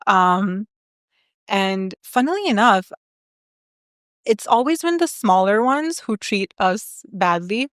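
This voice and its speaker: A young woman guesting on a podcast, telling candid stories in a lively, chatty way, full of "like" and "um". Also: medium pitch, takes it slowly, long pauses, dry recording, studio quality. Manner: candid, animated storyteller, lots of "like" and "um". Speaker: guest, agency founder. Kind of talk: casual interview chat.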